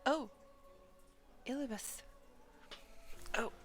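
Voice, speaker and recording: A woman streaming a game, commenting casually and reading dialogue aloud in a halting, muttering way. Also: high voice, slight background noise.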